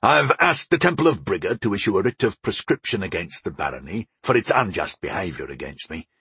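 A man voicing a portly, proud older baker father in an audiobook, gruff and resolute, explaining things without hurry. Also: low-pitched, big pitch swings, fairly bright tone, no echo, exaggerated voice. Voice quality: gruff, grumbling. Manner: unhurried, explaining, homespun wisdom. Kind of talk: audiobook character dialogue.

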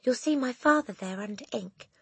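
A plucky little storybook schoolboy, put on by a woman, speaks in a high voice. He is polite, innocent and earnest. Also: animated, normal speed, creaky, a bit bright, husky, no room sound, exaggerated voice. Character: brave, innocent, plain-spoken little schoolboy. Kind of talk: audiobook character voice.

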